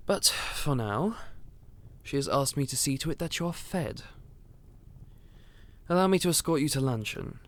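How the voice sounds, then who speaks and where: A man playing a courteous, loyal royal aide in a fantasy audio roleplay, speaking formally and with measured courtesy. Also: mid-range voice, lively intonation, close to an everyday voice. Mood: gracious.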